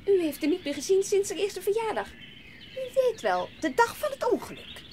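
An actress playing a brisk, insistent, hard-hearted aunt in a Dutch audio drama. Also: female voice, extremely high pitch, huge pitch swings, darkish tone, slight background noise, full character voice. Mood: matter-of-fact.

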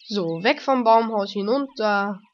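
A woman with a cold, commenting casually on a game she is playing. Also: mid-range voice. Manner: relaxed, slow, chatty. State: has a cold, coughing. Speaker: let's play host. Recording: dull lo-fi home mic with faint noise.

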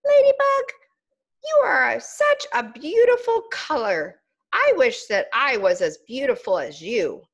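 A woman's voice: high-pitched, sing-song, measured pace, lo-fi sound, voice pushed up, heavily acted. A woman voicing a whiny little caterpillar girl. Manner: whiny, wistful, pleading.

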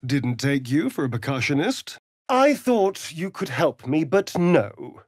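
An actor with a British accent playing a vain, flirtatious, sardonic vampire rogue in a fantasy video game, arch and theatrical in delivery. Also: male voice, a little high, sing-song, measured pace, no room sound, clean studio sound. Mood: wry, guarded. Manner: arch, drawling, theatrical, mocking.

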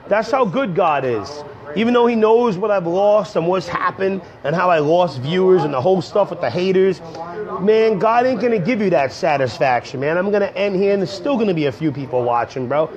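A young man, hoarse from a cold, rambling casually to viewers on a livestream from a coffee shop. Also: sits high, lively intonation, a little fast, darkish tone. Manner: rambling, slangy, talks straight to viewers. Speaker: comedian livestreaming. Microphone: earbud headset mic on a phone. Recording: dull lo-fi phone stream.

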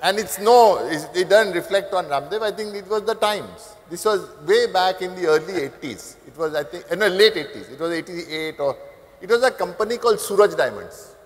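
Brief: older Indian panelist, animated and gesturing, high-pitched voice, lapel mic, echo of a large hall. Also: male voice, normal speed. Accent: Indian English. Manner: animated, gestures broadly while talking. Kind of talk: panel discussion. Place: indoor conference stage.

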